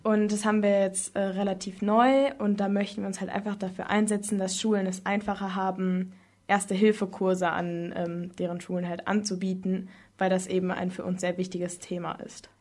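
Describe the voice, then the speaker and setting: Student representative, female, teens, medium pitch, thoughtful interviewee with frequent hesitations. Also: flat delivery, darkish tone. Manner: hesitant, with frequent filled pauses and long winding sentences. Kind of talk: spontaneous interview answers.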